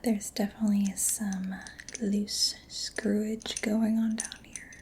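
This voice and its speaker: Whispering woman, soft and mellow ASMR. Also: medium pitch, level tone. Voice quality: breathy, whispery. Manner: gentle, unhurried, murmuring personal attention. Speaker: ASMR creator playing a robot mechanic. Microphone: close-up sensitive microphone. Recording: close-up whisper with clear background noise.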